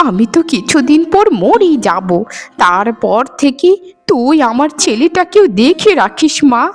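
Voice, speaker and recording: A woman acts out an elderly village mother in a cartoon tale. The delivery is very animated and emotional, in a raised pitch with drawn-out phrases. Music sits behind it. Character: elderly village mother and mother-in-law, sentimental, effusive. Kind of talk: Bengali cartoon voice acting.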